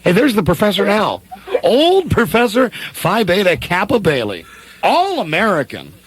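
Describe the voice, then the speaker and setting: A man's voice: sits high, huge pitch swings, moderate pace. Swooping, playful young small-town dreamer played by a man. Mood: excited, boisterous. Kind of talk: comedic radio-play read of a classic film script.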